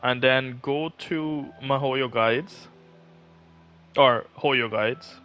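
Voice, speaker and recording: A man's voice: medium pitch, very slow, sharp tone, lo-fi sound, soft background music. A man chatting casually during a game livestream, guiding a friend as a fellow gamer.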